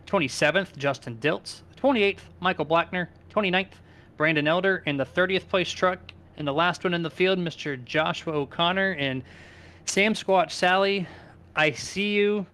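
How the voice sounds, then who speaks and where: A man's voice: on the high side, moderate pace, pushed and bright. A man doing live play-by-play commentary on a sim race in a casual, conversational manner.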